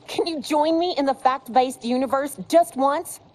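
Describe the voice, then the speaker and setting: An actress playing a blunt, exasperated wife in a video game cutscene. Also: female voice, sits high, normal speed, a little mellow, close to an everyday voice. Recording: game cutscene with music behind.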